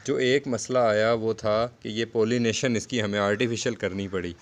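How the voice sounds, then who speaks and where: A man's voice: lowish voice, fairly even intonation, normal speed. A man giving a casual, explanatory gardening vlog narration in a conversational, repetitive way. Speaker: home gardening video host.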